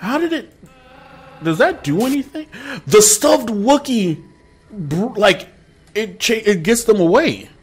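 A young man streaming a horror game live, talking nervously to his chat with sudden yelps and laughs. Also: fairly high pitch. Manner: jumpy, nervous, bursts of loud yelps and laughter. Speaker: gaming streamer. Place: small room with posters behind.

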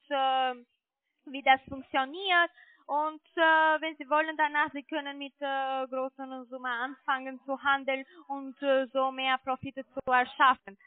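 A non-native German-speaking woman giving a hesitant sales pitch over the telephone as a call centre agent. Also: dry recording. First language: not German. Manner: hesitant, halting. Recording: muffled narrowband phone line.

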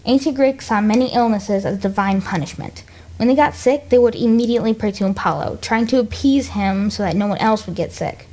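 Higher-pitched American woman hosting radio. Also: a little fast, dark tone. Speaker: radio host. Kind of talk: scripted radio presenting. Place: radio studio.

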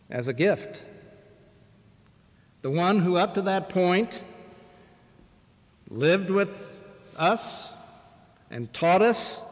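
Older male bishop, slightly high voice, measured pulpit delivery with long pauses, sanctuary reverb. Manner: measured, deliberate preaching with pauses. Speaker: bishop preaching. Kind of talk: sermon. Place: large church sanctuary, at a wooden pulpit. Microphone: pulpit microphone, far camera.

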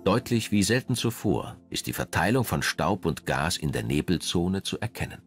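A man reading scripted documentary narration in a measured, polished way. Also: low-pitched, big pitch swings. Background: background music.

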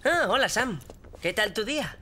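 A woman's voice: a little high, lively intonation, moderate pace, noisy background, close to an everyday voice. A woman voicing a mischievous, eager young boy in a dubbed cartoon, talking in a cheeky, wheedling way. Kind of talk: cartoon dubbing.

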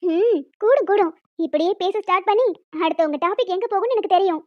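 A woman's voice: extremely high pitch, fast, dry recording, muffled recording. A woman voicing a young wife in a cartoon drama, playfully scolding and bantering. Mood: playful protest. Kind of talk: cartoon relationship drama dialogue.

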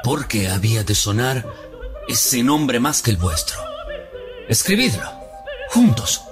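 A scornful, envious Roman conspirator in his prime, voiced by a man who declaims with sneers and mockery. The delivery rises and falls widely in pitch. Faint music plays underneath. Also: big pitch swings, slow. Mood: impassioned. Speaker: stage actor. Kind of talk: theatrical monologue.

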